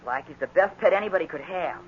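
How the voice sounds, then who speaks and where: A man's voice: high voice, lively intonation, fast. An actor playing an earnest, eager young boy in a 1940s radio drama. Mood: proud, defensive. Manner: gushing, stammers when upset. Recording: muffled, noisy 1940s radio recording.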